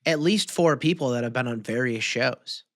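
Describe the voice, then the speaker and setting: A man's voice: mid-range voice, normal speed, no echo, clean studio sound. A young man from Illinois co-hosting a podcast, chatting casually in a joking, slangy way. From Illinois, United States. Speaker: podcast co-host. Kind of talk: casual chat.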